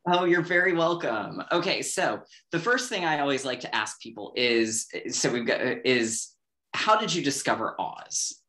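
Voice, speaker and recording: A man's voice: medium pitch, expressive, normal speed, bright, forceful tone. A man co-hosting a podcast, interviewing a guest in a conversational style.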